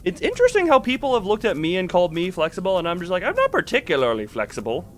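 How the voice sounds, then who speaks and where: A man's voice: high voice, huge pitch swings, fast talker, slight background noise. A man hosting a game livestream, chatting casually and rambling as he thinks aloud while playing. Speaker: game streamer, host. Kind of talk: casual livestream chat with gameplay commentary.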